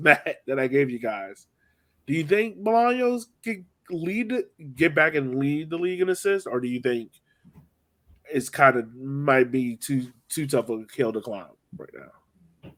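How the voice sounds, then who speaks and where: A man's voice: medium pitch, lively intonation, unhurried, fairly bright tone. A man hosting a casual sports podcast, speaking conversationally and putting questions to his co-hosts. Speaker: podcast host.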